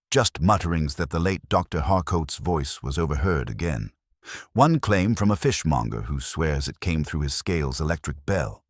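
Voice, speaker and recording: An industrious police sergeant in a mystery story, as a male narrator reads him, reporting respectfully and keenly. The voice sits very low and moves freely in pitch, with a dry sound. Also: dry recording. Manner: eager, respectful.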